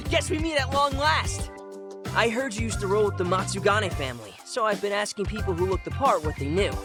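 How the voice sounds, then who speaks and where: A woman's voice: medium pitch, animated, moderate pace, natural voice. A woman with an American accent voicing a cocky, scrappy teenage boy with slangy speech in a video game dub. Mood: excited. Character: brash, scrappy teenage boy with a smart mouth. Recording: music behind the voice. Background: game music.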